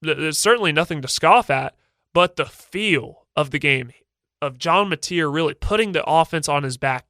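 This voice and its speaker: A young man with a stuffy, slightly nasal voice from a head cold hosts his own sports radio show. He sounds confident and opinionated, with a fairly high, bright, smooth tone. The studio sound is dry and close. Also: no echo. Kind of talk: solo sports talk show.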